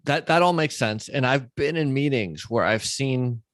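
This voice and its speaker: A man's voice: mid-range voice, moderate pace, no echo. A male podcast host with a mid-range voice, recorded dry with no room sound. He interviews in a conversational way, talkative and working through his thoughts aloud.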